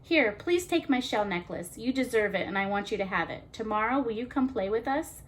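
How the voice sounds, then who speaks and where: A woman's voice: on the high side, expressive, fairly quick, a little hiss or hum, natural voice. Woman voicing a pleading, gushing young manatee girl. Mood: grateful, apologetic. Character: mean young female manatee turned frightened and apologetic.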